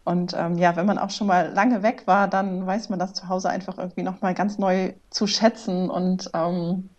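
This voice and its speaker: A woman's voice: lowish voice, little pitch movement, moderate pace, darkish tone, highs cut off. A woman from Flensburg, chatting enthusiastically as a podcast guest. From Flensburg, northern Germany. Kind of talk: casual podcast chat.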